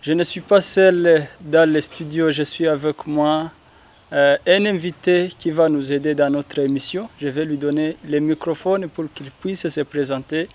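A man's voice: fairly high pitch, fairly even intonation, a little slow, darkish tone. A young man, hosting an interview programme into a handheld microphone. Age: young adult. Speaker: interview host. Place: outdoors by a house wall.

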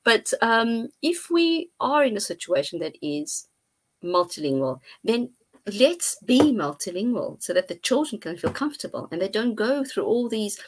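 A middle-aged woman, a guest researcher, explaining her findings thoughtfully on a video call from her home study, with frequent pauses and fillers. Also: a little high, sing-song, a little hiss or hum. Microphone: earphone mic on video call.

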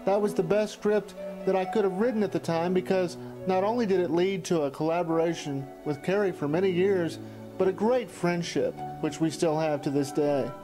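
High-pitched man reminiscing over music. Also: moderate pace, poor audio. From Texas, United States. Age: 40s. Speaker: documentary narrator and amateur filmmaker. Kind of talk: reminiscing documentary narration. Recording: music under the voice.

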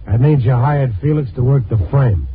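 Actor as tough American reporter, tinny old radio. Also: male voice, medium pitch, moderate pace, a little hiss or hum, close to an everyday voice. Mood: angry, threatening. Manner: hardboiled, blunt. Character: tough, world-weary male newspaper reporter. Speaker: lead actor and narrator. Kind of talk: 1950s radio drama acting. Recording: tinny old 1950s radio recording, music.

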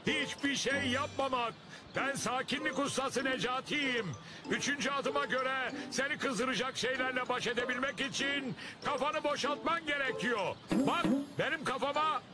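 A man voicing a goofy, self-important elephant master of calm in a cartoon, with a pompous, mock-wise manner. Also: very high-pitched, moderate pace. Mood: smug. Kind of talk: animated cartoon comedy acting. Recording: loud music behind the voice.

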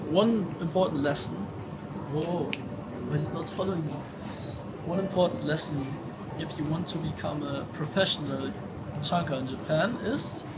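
A young man in his twenties chats casually as a travel companion in a vlog. His voice is on the high side for a man. The handheld camera picks up a lot of noise around him. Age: 20s. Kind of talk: casual chat. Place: in a car and a convenience store. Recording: noisy car and shop ambience.

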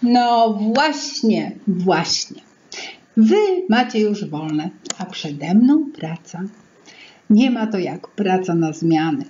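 A woman's voice: on the low side, animated, slow, warm tone, dull audio, slight background noise. Woman playing a bubbly, lilting fairy-tale girl. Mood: cheerful, hurried. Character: cheerful affectionate young girl, one of the fairy-tale sisters.

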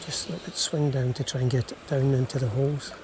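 A man's voice: mid-range voice, steady tone, brisk. A man giving casual, murmured running commentary as he fishes, breaking into exclamations, recorded on a body-worn camera outdoors. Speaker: angler and vlogger. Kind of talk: casual first-person fishing commentary. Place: outdoors, wading in a small river. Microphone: body-worn action camera. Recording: outdoor action camera, constant water noise. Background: running river water.

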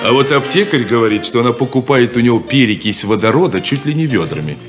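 A man's voice: mid-range voice, lively intonation, fast talker, natural voice. Stern, sardonic elder brother played by a man. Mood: scornful, insistent. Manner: scolding, sardonic, insistent. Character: Noah, stern, bossy, sarcastic elder brother. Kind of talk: 1970s Soviet radio play acting. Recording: 1970s radio play, music behind.

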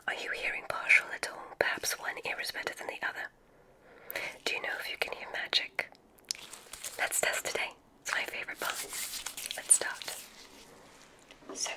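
Slow whispering ASMR woman. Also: low-quality recording. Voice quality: whispered, breathy. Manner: soft, slow, gently reassuring. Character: kindly witch examiner at a magic school. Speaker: ASMR roleplay performer playing a witch medical examiner. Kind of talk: ASMR roleplay.

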